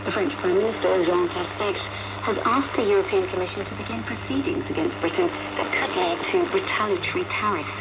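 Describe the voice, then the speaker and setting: A woman's voice: medium pitch, fairly even intonation, a little fast. A woman reading a formal scripted news bulletin on the radio. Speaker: newsreader. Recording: noisy shortwave radio broadcast.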